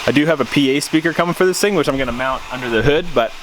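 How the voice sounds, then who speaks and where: A man's voice: medium pitch, very fast, background noise. Casual man narrating hands-on DIY. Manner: informal, thinking aloud while working. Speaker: vlogger. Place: vehicle cabin.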